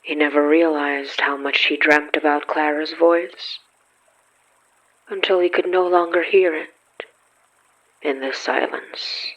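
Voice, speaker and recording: A man reading scripted horror fiction as a narrator, solemn and measured with dramatic pauses. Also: on the high side, fairly even intonation, a bit bright, highs cut off.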